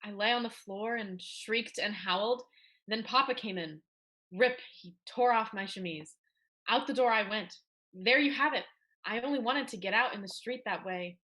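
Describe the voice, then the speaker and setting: A woman's voice: medium pitch, normal speed, sharp tone, natural voice. A woman playing a rueful, defiant teenage schoolgirl in a dramatic reading of a period play. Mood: aggrieved.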